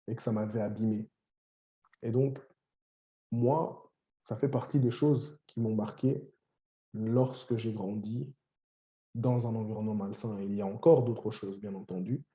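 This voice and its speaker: Earnest guy talking slow and deliberate, low mellow voice, kinda muffled recording. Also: fairly even intonation. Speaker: coach and channel host. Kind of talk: reflective personal testimony. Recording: dull lo-fi sound.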